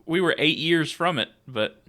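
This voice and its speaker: A man co-hosting a casual movie podcast, relaxed, steering the talk and reading critics' quotes aloud. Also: a little high, moderate pace. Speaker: podcast co-host.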